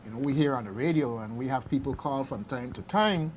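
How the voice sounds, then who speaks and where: A man hosting a radio show, introducing segments in a halting, conversational, unscripted way. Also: medium pitch, slight background noise, low-quality recording.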